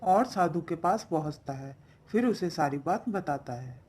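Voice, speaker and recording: Low-pitched woman narrating a fable. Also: animated, unhurried, darkish tone, husky, soft background music. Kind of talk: scripted storytelling narration for a cartoon fable.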